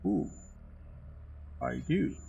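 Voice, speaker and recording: Man with a cold, gaming streamer, slow musing, faint hiss. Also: dark tone, lo-fi sound. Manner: slow, musing, drawn-out remarks. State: has a cold. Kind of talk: let's play commentary.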